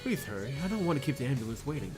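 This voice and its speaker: A man's voice: medium pitch, sing-song, racing, music in the background, pitched above the performer's own voice, natural voice. Man putting on an anxious, pleading young woman. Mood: pleading, impatient. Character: anxious, pleading young female companion, eager to leave. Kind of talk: visual novel character reading.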